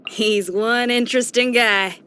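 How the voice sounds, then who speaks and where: High-voiced spirited young rocker girl. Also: fairly even intonation, a little slow, sharp tone, clean tone. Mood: enthusiastic, fond. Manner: folksy, colloquial, exclamatory, chatty. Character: spirited, tomboyish young female rock musician, friendly and frank. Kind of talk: video game character voice lines.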